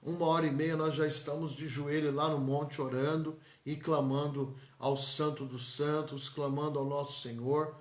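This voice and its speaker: Echoey-voiced São pastor. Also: male voice, a little high, flat delivery, moderate pace, few pauses. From São Paulo, Brazil. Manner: emphatic and repetitive, folksy asides to viewers. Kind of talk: live-streamed church announcements and blessings. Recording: echoey church room.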